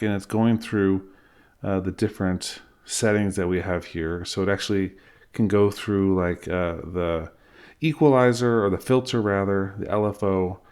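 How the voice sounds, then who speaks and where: A man's voice: on the low side, a little mellow. A middle-aged man giving an informal screen-recorded tutorial, explaining things step by step as an instructor. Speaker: music software instructor.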